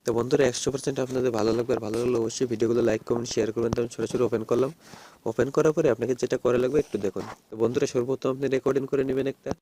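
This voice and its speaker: A man's voice: mid-range voice, fairly even intonation, rapid-fire, mellow, slight background noise. A man giving a casual phone tutorial, repetitively addressing his viewers as friends. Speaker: host of phone app tutorial videos. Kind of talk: casual screen-recorded tutorial.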